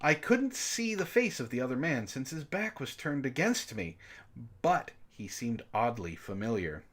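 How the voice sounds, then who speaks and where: A man's voice: medium pitch, very animated, a little slow, fairly bright tone, breathy edge. A man reading a story aloud and bantering casually, with an exasperated, profane, mocking manner. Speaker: book club host and reader.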